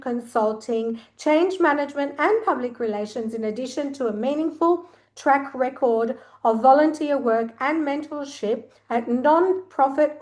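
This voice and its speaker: A woman's voice: high-pitched, darkish tone. A woman speaking as a podcast host, gracious and effusive as she introduces and interviews a guest. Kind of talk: warm formal introduction and interviewing.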